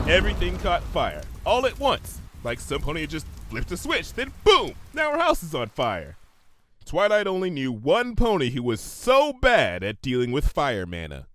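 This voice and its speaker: A man's voice: fairly high pitch, a bit bright, slight background noise. A man narrating a comedic fan fiction story in a theatrical, emphatic manner, drawing phrases out for suspense.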